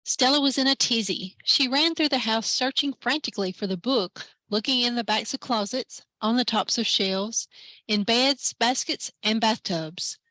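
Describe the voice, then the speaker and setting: A woman librarian reading a children's picture book aloud at story time, lively and expressive. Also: a little high, moderate pace, no echo, poor audio. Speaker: library branch manager, story time reader.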